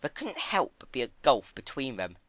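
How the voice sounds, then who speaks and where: Guy doing a posh-mannered lady pony from a story, genteel n musing, lilting up and down, bright. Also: medium pitch, very animated, normal speed, no room sound, voice pushed up. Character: refined, ladylike female pony, thoughtful and dramatic. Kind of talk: dramatic fan-fiction reading.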